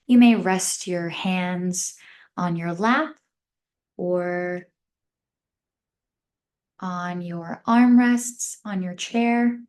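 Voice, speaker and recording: A woman leading a guided mindfulness meditation as an instructor, speaking gently in short, measured phrases with long pauses between them. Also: on the low side.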